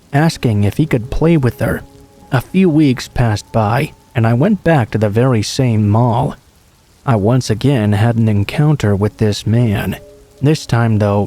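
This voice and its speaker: A man reading true scary stories aloud as a scripted narration, in a steady, low-key delivery. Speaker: horror story narrator.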